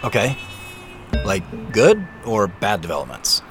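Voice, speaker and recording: A harried man in an audio drama phone call, low in pitch and casual. He sounds hesitant, apologetic and self-deprecating, with music clearly playing behind him. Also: wildly swooping pitch, a little slow, a bit bright, heavily acted. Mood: uneasy. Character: harried, apologetic man hiding his part in a scandal.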